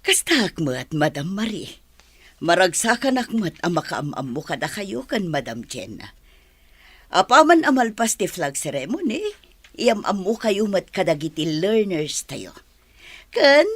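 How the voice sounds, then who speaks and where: A woman's voice: mid-range voice, very animated, measured pace, slightly rough, no echo. A woman with a Filipino accent acting a kind, patient special education teacher, polite and instructive, in a radio drama. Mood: welcoming, instructive.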